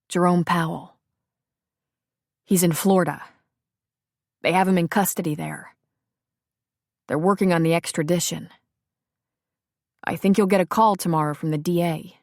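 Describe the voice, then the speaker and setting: Woman doing a sorry ex-husband, pleading, halting, slow, dry sound. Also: lowish voice, steady tone, a little mellow, no echo. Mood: earnest.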